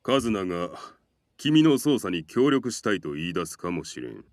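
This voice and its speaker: A man's voice: low-pitched, very animated. A man voicing a serious adult male character in a Japanese visual novel, firm and matter-of-fact. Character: serious adult man giving frank advice.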